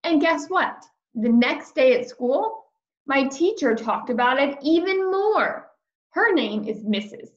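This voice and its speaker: High-pitched woman reading a children's story. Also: expressive, takes it slowly, dull audio. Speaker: storybook reader. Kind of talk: expressive children's storybook read-aloud.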